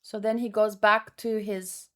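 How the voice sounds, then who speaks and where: A woman reading dialogue aloud in an informal, expressive way, mixed with casual commentary of her own. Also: medium pitch, unhurried. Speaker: manga read-along host.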